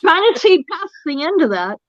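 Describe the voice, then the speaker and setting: A woman's voice: very high-pitched, very animated, moderate pace, a bit bright, no echo, lo-fi sound. A woman in her sixties with a Boston accent, teasing a friend in casual chat over a video call. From Massachusetts. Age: 60s. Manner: teasing, wisecracking.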